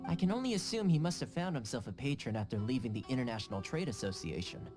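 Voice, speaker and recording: A woman's voice: extremely low pitch, expressive, fast talker. An actress playing a composed, knowing woman informant in a video game, poised, tactful and explanatory. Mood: knowing, dry. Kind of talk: video game character acting. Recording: music behind the voice.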